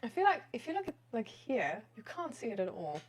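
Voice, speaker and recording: A woman's voice: on the high side, big pitch swings, fairly quick, husky. Woman chatting casually on a livestream. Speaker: live streamer. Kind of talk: casual livestream chat with viewers.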